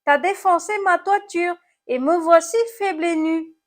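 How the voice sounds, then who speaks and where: Woman as an indignant, high-voiced little snail. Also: normal speed. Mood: indignant, wailing. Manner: indignant, moaning. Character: small horned snail, indignant, moaning, feeble victim.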